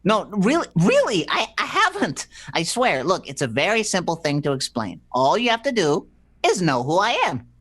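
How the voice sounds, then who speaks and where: A man's voice: sits high, wildly swooping pitch, normal speed, a bit bright, heavily acted. A man playing a flustered romantic on the run in an old-fashioned radio play, stammering and pleading. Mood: flustered, insistent.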